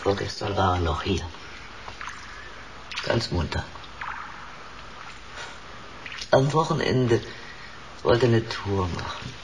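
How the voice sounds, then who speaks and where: A gravelly, unhurried busybody neighbour in a radio play. He gossips in short, folksy bursts, drily amused and sure he knows it all, with some noise behind him. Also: lowish voice, lively intonation. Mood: wry gossiping. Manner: clipped rambling sentences, folksy and knowing. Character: nosy, talkative neighbour who sees everything, drily amused. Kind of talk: German radio drama acting.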